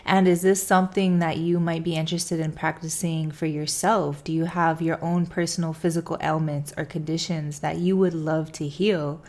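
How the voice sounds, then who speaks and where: A woman's voice: low voice, flat delivery, moderate pace, hardly any pauses, a little mellow. A young woman hosting a podcast from her living room. She opens the show and interviews her guest with upbeat enthusiasm. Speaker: podcast host.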